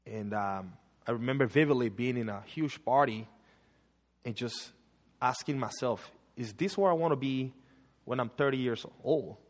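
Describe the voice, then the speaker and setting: A man's voice: mid-range voice, moderate pace, in a large hall. A man in his thirties from Panama with a Panamanian Spanish accent, preaching and praying in church with frequent fillers. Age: 30s. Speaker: guest preacher. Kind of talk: sermon and prayer. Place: church sanctuary.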